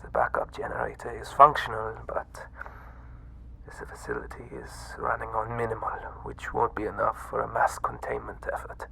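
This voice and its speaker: A man voicing a frail, obsessive mad scientist with a breathy, halting delivery in an ASMR horror roleplay. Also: lowish voice, very animated, sharp tone, heavily acted, rough recording. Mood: weary, grave. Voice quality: breathy, whispery. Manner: halting, theatrical. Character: weak, obsessive mad scientist doctor running a monster containment site.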